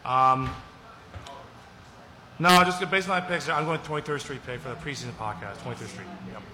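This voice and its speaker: A man's voice: mid-range voice, normal speed, bright, forceful tone, a little hiss or hum. A young adult man co-hosting a casual podcast, rambling and chatty, often trailing off into mumbles. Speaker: podcast co-host.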